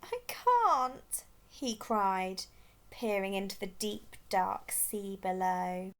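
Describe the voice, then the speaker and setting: A woman's voice: medium pitch, flat delivery, takes it slowly. A woman reading a children's storybook aloud expressively as a storyteller.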